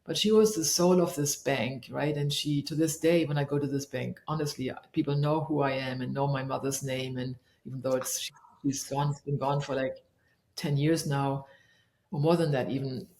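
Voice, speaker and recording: A middle-aged woman from Germany, a leadership coach, answering interview questions thoughtfully and pausing often to search for her words. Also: very deep voice, fairly even intonation, darkish tone. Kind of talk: reflective interview conversation. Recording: echoey room.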